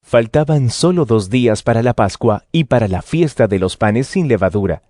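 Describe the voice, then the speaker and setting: A man giving formal, measured narration of scripture. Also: medium pitch, lively intonation, mellow, dry recording, clean studio sound.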